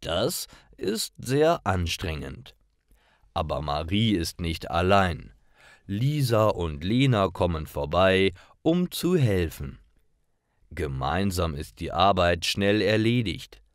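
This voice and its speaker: A man narrates simple stories for language learners in a very deep voice. He reads slowly and carefully, with expressive rises and falls in his intonation. Each short sentence is delivered deliberately as scripted narration. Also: no echo, clean studio sound.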